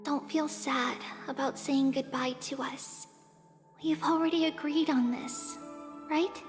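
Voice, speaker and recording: Game role: small girl, very high and unhurried, soft, earnest, solemn. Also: steady tone. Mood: solemn resignation. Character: small childlike girl, gentle, solemn, wise beyond her years. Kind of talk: video game voice acting. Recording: long reverb, faint music behind.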